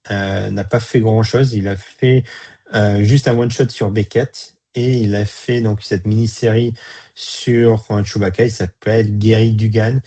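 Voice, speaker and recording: A man's voice: fairly low pitch, dark tone. A man with a European French accent giving blunt opinions as a guest in a casual review chat.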